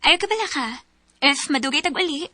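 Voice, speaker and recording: A woman's voice: sits high, brisk, sharp tone, no echo. A woman playing a flirtatious, bold married boss in a radio drama, with a Filipino Cebuano accent. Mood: confident. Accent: Filipino, Cebuano-speaking. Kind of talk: Philippine radio drama acting.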